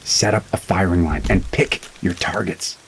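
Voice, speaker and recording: An actor playing the commanding leader of a hunting party in an audio drama, gruff and terse, giving clipped orders. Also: male voice, low-pitched, normal speed, very noisy. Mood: urgent, commanding.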